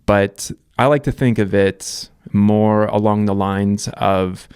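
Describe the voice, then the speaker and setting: Low, smooth-voiced Canadian man. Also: steady tone, slow pace, a little mellow, no echo, studio quality. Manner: reflective, loose, lots of fillers and restarts. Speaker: vlogger. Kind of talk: casual life-story monologue.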